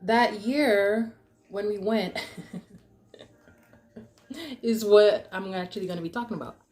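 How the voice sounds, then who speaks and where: A woman's voice: mid-range voice, slow, a little mellow. Young woman just chatting through a story, slangy, does the quotes, echoey room. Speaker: storyteller, vlog host. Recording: roomy, echoey sound.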